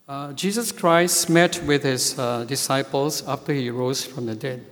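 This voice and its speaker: Church elder, male, preaching a sermon; slow and measured delivery, reverberant sanctuary. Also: medium pitch, a bit bright. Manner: slow, measured, with frequent hesitations. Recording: long hall reverb.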